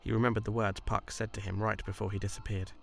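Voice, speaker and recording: A man reading scripted story narration in a measured, literary delivery. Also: on the low side, level tone, gravelly, faint music behind.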